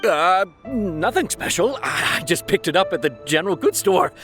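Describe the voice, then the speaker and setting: An actor playing a nervous, cornered young man who stammers and hesitates in an animated video game style. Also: high voice, normal speed, soft background music. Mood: earnest, excitable.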